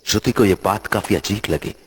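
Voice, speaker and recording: Male narrator for scripted horror tales, low-pitched with a husky edge. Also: a little fast.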